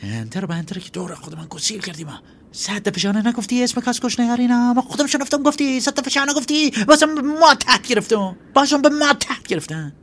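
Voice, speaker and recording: A man doing a shrill, frantic hothead. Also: slightly rough. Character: excitable panicky man, hiding on a hilltop.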